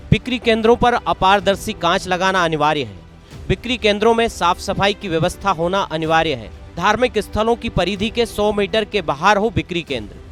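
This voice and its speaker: A man's voice: high voice, fairly bright tone. A man reading scripted news narration in a formal, emphatic way. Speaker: news presenter. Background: background music.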